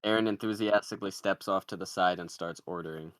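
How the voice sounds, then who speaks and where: A man's voice: low voice, fairly even intonation, fast talker, a bit bright, no room sound, studio quality. A man narrating the stage directions of a comedy screenplay in a scripted read.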